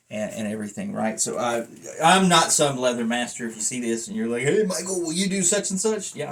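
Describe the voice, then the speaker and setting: A man with a slightly high voice reviews his hobby gear in a loose, rambling chat. He is folksy and self-deprecating, and swears casually. The phone sits a little way off, so he sounds somewhat distant with faint noise around him. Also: a bit bright. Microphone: phone, away from the mouth. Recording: noisy phone recording, distant mic.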